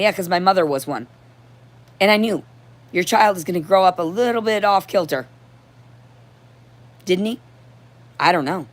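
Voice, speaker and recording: Hoarse, confrontational woman livestreamer. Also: lowish voice. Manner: confrontational, profane, disjointed. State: hoarse throat. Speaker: livestream host. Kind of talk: rambling unscripted livestream monologue.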